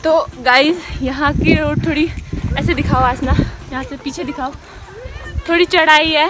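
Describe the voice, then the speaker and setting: A young woman with an Indian accent vlogging a hill walk, chatty and teasing her friend, recorded on a phone outdoors. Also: high-pitched. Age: young adult. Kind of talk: casual travel vlog. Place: outdoors on a hillside trail. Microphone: phone camera. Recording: loud background music over outdoor phone audio. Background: music.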